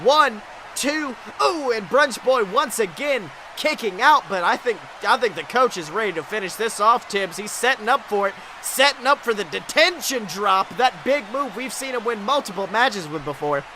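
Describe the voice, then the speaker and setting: A man's voice: sits high, lively intonation, normal speed, sharp tone, slight background noise. A man doing dramatic, exclamatory play-by-play wrestling commentary as a podcast host, with a breathless delivery.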